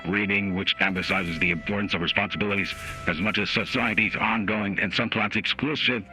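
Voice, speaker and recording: Man playing a formal male judge, measured dramatic reading, very sharp tone, voice-changer effect, soft background music. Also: on the low side, steady tone, voice pushed down, poor audio. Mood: measured, stern. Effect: voice changer app. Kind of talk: dramatic reading of a court opinion. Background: music added by the app.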